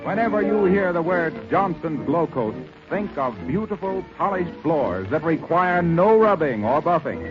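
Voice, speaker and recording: A man's voice: on the high side, lively intonation, normal speed. A man announcing a 1930s radio show in a polished, formal, showy scripted style. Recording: muffled lo-fi 1930s radio broadcast. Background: orchestra music.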